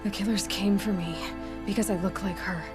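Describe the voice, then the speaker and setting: A determined young outcast huntress , played by a woman, talks in a direct, skeptical way. The delivery is naturalistic video game drama, with music playing clearly behind her. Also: mid-range voice, steady tone, fairly quick, close to an everyday voice. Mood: frustrated, insistent.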